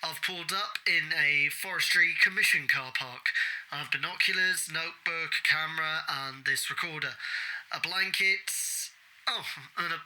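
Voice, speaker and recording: Woman acting as bright-voiced methodical female investigator. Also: deep voice, moderate pace, low-quality recording. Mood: focused, matter-of-fact. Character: methodical woman investigator recording field notes, mildly exasperated. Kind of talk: audio drama monologue as spoken log.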